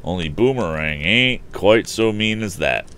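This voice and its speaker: A man's voice: deep voice, expressive, measured pace, a bit bright, smooth, pitched above the performer's own voice, heavily acted. A man voicing a talkative, folksy odd-job man from an old storybook, speaking emphatically. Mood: grumbling.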